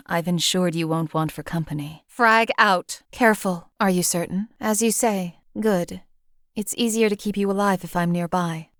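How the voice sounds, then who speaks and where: A woman voicing a cold, calculating female assassin companion in a game, with a calm, detached, clipped delivery. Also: on the low side, no echo, studio quality, natural voice. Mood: menacing. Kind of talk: game voice lines.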